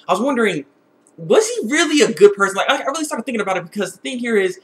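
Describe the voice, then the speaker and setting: A man giving a casual, opinionated episode review in an excitable, rambling way that often repeats words. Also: very high voice, racing.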